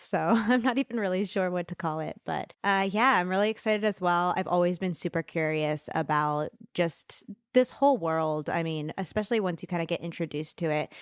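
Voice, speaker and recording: A woman's voice: fairly low pitch, clean tone, no room sound, studio quality. A young woman guest on a podcast, talking candidly and at length, laughing often as she shares her story. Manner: chatty, laughs often, talks fast when nervous. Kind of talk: candid interview chat.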